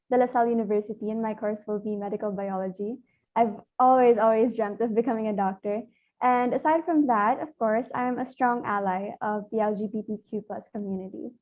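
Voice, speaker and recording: A woman's voice: fairly high pitch, a little mellow. An eighteen-year-old woman from the Philippines, a pageant contestant chatting eagerly in a video call interview, often laughing. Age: teens. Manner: giggly, eager, fast talking. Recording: dull lo-fi video call audio.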